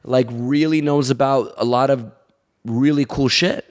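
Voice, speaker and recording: A man's voice: medium pitch, fairly even intonation, measured pace. A man co-hosting a podcast, speaking bluntly and casually with offhand swearing. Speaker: podcast co-host.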